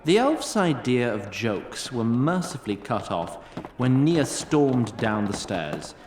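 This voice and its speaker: A male narrator tells a fantasy story in a wry, literary way. His medium-pitched voice moves with lively inflection, recorded with noticeable room sound. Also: takes it slowly. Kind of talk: dramatic scripted fantasy narration. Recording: roomy sound, faint background noise.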